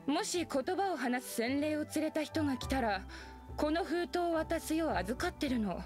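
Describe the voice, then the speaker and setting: A woman's voice: high voice, lively intonation, moderate pace, a little mellow, soft background music. A woman playing a composed, courteous innkeeper in a game, with polite feminine wording. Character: composed, courteous adult female inn proprietor. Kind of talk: Japanese game dub acting.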